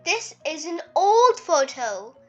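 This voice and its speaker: Female reader as young girl sheep, insistent, lively, high pitch, storybook friend. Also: takes it slowly, dull audio, faint music behind, heavily acted. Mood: eager, insistent. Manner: emphatic, insistent.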